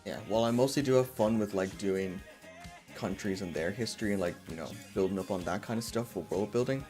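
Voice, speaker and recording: A man's voice: lowish voice, brisk, a little mellow, faint music behind. A man streaming in a casual, rambling way, thinking aloud and putting himself down. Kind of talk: casual livestream chat.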